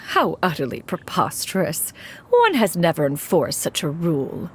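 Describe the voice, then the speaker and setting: A woman's voice: fairly low pitch, huge pitch swings, unhurried, slight background noise. A woman voicing a proud, haughty, ancient female sage in a video game, speaking in an imperious, formal, archaic way. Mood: lofty. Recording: music behind the voice.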